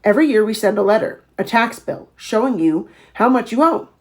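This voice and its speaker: A woman's voice: a little high, expressive, fairly quick. A woman voicing a pompous, bullying male tax official in a storybook, with a demanding, authoritative manner. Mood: smug, official. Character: short, pompous, bullying male tax man, city slicker. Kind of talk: storybook read-aloud character voice.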